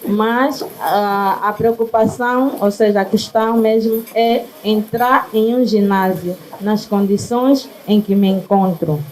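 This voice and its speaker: Slow, mellow woman asking a question. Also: mid-range voice, fairly even intonation, rough recording. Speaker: audience member asking a question. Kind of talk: unscripted personal question.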